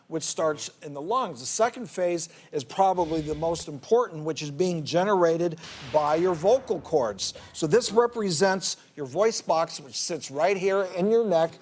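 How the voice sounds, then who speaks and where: A male doctor on a TV talk show, explaining how the voice works step by step for a lay audience. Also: high-pitched. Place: TV studio.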